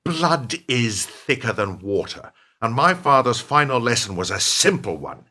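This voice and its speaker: A man's voice: medium pitch, sing-song, a little slow, bright, forceful tone. An actor playing a ruthless, self-justifying middle-aged businessman in a radio play, speechifying with smug emphasis. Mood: cold, defiant. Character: ruthless, self-justifying businessman betraying his lifelong partner.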